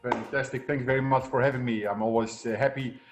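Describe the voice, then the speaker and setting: A man's voice: mid-range voice, steady tone, a little fast, soft background music. A middle-aged man from the Netherlands with a Dutch accent, talking conversationally as an upbeat coach being interviewed. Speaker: guest, success coach and entrepreneur.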